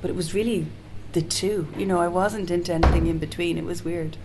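Soft-spoken woman, hiss behind. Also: lowish voice, flat delivery, a little fast. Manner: soft-spoken, hesitant, many restarts and fillers. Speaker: guest singer-songwriter. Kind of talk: casual interview chat. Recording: noticeable background hiss.

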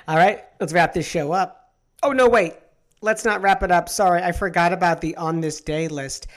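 A man hosting a talk show about opera, chatting in quick, animated bursts. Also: high-pitched. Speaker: host. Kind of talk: casual chat.

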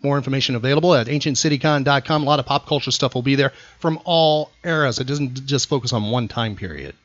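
A man's voice: mid-range voice, fast, a bit bright, slight background noise. A man hosting a casual trivia quiz, teasing and emphatic with his contestant. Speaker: podcast host and quizmaster. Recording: close, dry, slightly dull sound.

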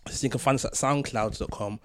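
A man's voice: mid-range voice, fast talker, a bit bright. A man hosting a casual group podcast, bantering in slang. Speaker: podcast host.

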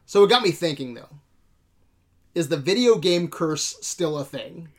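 Guy hosting a chatty movie podcast, kinda high voice, slangy and sweary. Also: lively intonation, unhurried. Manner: informal, slangy, swearing freely. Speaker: podcast host. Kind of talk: casual movie discussion podcast.

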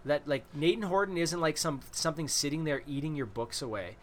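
A man's voice: a little high, brisk, slight background noise. A man co-hosting a casual hockey podcast, arguing points and teasing his friends. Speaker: podcast co-host.

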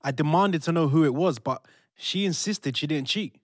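A fairly high-voiced young British man played by an actor in an audio drama, a wounded fiancé struggling with anger and betrayal. The sound is dry and close. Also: moderate pace, no echo, natural voice. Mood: upset, conflicted. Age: young adult. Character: hurt, angry young fiancé torn by betrayal. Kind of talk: modern audio drama acting.

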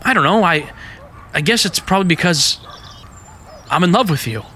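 An actor playing an earnest, plainspoken young American detective in love, in vintage radio drama style. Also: male voice, high-pitched, lively intonation, normal speed, bright, forceful tone, slight background noise. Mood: tender, earnest.

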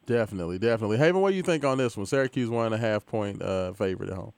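A man hosting a casual sports betting chat, easygoing and quick to laugh, hand-offs loose with fillers. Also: lowish voice, animated, gravelly, a little mellow, dry recording. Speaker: host.

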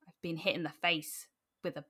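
A woman's voice: deep voice, steady tone, measured pace, pushed and bright. A woman speaking candidly and informally as an interview guest, animatedly telling personal stories. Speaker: podcast guest.

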